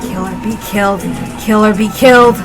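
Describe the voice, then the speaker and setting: A woman voicing a wounded young male servant who is forceful, repetitive and eerily insistent, in a story reading. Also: mid-range voice, steady tone, normal speed, over music, close to an everyday voice, low-quality recording. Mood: angry, insistent.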